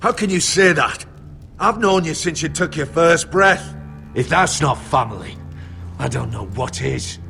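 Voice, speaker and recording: Gravelly, defiant castle steward. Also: male voice, fairly high pitch, lively intonation, unhurried, pushed and bright, exaggerated voice. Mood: hurt, indignant. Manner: earnest, emphatic, pleading. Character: defiant, aggrieved male castle steward, loyal to family. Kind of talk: dramatic video game acting. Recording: music under the voice.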